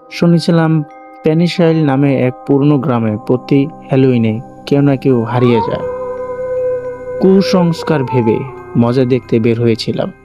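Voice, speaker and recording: Male horror-story narrator, mellow low-brightness tone, scripted first-person delivery, light music bed. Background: faint music.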